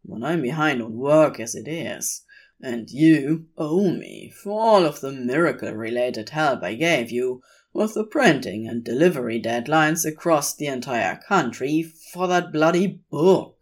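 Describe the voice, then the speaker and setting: A woman's voice: sits low, lively intonation, measured pace. A woman voicing a flippant, exasperated, scheming male demon in a fan fiction reading. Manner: flippant, sardonic. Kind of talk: audio fan fiction dialogue.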